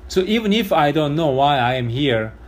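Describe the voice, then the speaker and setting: A man with a strong Korean accent, a pastor giving an earnest, measured sermon, his first Sunday with a new congregation. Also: a little high, big pitch swings.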